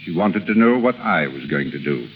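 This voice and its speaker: A man's voice: low voice, sing-song, moderate pace. An actor playing an ardent, commanding businessman in his forties, in 1930s radio drama style. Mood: troubled. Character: ardent, commanding forty-six-year-old wealthy businessman in love. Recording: tinny, hissy 1930s radio recording.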